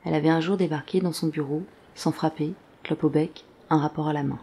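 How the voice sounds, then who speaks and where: Mellow, measured drama narrator. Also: mid-range voice, steady tone, a little hiss or hum. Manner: measured, literary storytelling. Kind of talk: scripted audio drama narration. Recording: faint background ambience.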